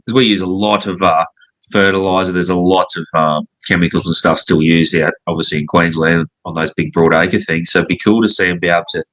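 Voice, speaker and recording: Bright, low-voiced laid-back Australian man. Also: rough recording. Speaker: pub owner, interview guest. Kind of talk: casual chat.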